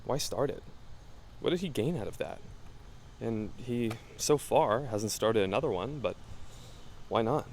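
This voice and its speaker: An actor playing a curious, determined American investigator in an audio drama, questioning things in a thoughtful, earnest way. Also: male voice, medium pitch, lively intonation, a little slow, long pauses, darkish tone, a little hiss or hum. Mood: puzzled, probing. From Los Angeles, United States. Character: curious, determined young male amateur investigator. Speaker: investigative podcast host. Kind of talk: naturalistic audio drama dialogue.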